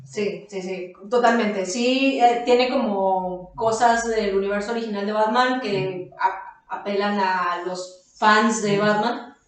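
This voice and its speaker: Woman, podcast co-host, casual discussion, medium pitch, heavy room echo. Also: steady tone, slow. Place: echoey makeshift home studio, indoors. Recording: very echoey improvised room.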